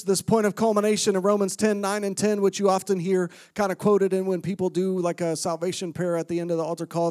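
Middle aged florida guy preaching, chatty and jokey, reads verses out, kinda high voice. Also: darkish tone, no room sound, studio quality. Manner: conversational, self-deprecating humor, reads scripture aloud. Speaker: guest preacher. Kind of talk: sermon. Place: church sanctuary with congregation.

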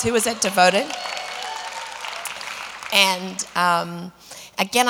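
A woman with a slightly raspy voice, leading a women's church gathering in prayer and casual sermon talk, full of fillers and restarts. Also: medium pitch, long reverb tail. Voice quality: raspy today. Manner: warm, chatty, many fillers and restarts. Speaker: women's ministry leader.

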